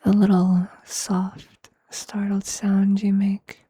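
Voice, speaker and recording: A performer voicing a possessive, tender vampire lover in an intimate, breathy ASMR roleplay whisper, with a hushed, seductive and affectionate manner. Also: mid-range voice, monotone, no echo. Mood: adoring, seductive. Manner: slow, hushed, seductive, affectionate, formal wording.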